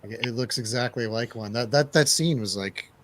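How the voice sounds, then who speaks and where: A man with a middling pitch hosts a casual radio review segment. He talks in an informal, joking way. His delivery is unscripted banter, like chatting with friends. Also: brisk, a bit bright, slight background noise. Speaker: radio show host and DJ.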